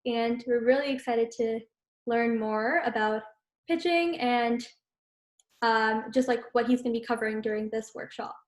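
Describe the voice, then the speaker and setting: A woman's voice: on the high side, normal speed, roomy sound. A woman casually introducing a workshop speaker, with a few fillers.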